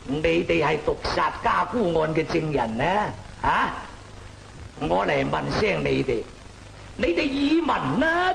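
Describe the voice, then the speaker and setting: An actor playing a stern imperial magistrate in an old opera film, declaiming half in chant with drawn-out syllables. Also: male voice, fairly high pitch, creaky, fairly bright tone, slight background noise, full character voice, low-quality recording. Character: stern, deliberating imperial magistrate presiding in court. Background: music.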